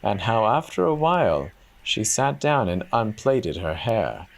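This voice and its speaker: Slow, low-voiced male storyteller. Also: big pitch swings, vocal fry, low-quality recording. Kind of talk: dramatic fairy tale reading.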